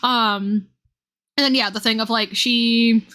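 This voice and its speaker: A woman's voice: on the high side, steady tone, slow pace, pushed and bright. A woman live streamer chatting casually with viewers about a game, rambling in an informal, slangy way. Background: music.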